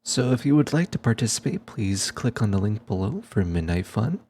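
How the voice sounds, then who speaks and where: A man's voice: lowish voice, big pitch swings, normal speed, darkish tone, slight background noise. A man who makes ASMR videos, giving a scripted announcement to his viewers. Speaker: ASMR voice actor and streamer.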